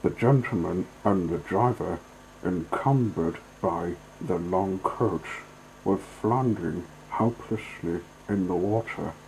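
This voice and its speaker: A man's voice: low-pitched, slow pace, gravelly, darkish tone, slight background noise, rough recording. A man narrating a storybook as a storyteller, reading from a scripted text.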